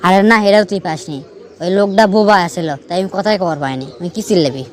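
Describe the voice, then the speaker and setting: A man's voice: sits high, expressive, quick pace. A man with a northern Bangladeshi accent playing a teasing young riddler in an amateur cartoon, explaining things to his friend. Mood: mocking, explaining. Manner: teasing, explanatory, keeps checking the listener follows. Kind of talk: amateur cartoon dialogue, storytelling.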